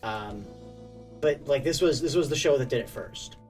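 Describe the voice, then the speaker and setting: A man's voice: medium pitch, expressive, moderate pace, a little mellow, distant mic. A man co-hosting a podcast with casual, crude, joking banter and plenty of swearing. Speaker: podcast co-host. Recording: slightly off-mic, music behind.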